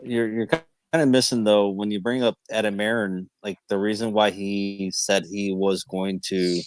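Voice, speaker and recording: 30s guy, lowish voice, casual bro talk, pushing back, dry close sound. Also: little pitch movement, normal speed, smooth, no echo, rough recording. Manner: casual, calls people bro and man, defensive. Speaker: call participant. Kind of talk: heated debate on a group call.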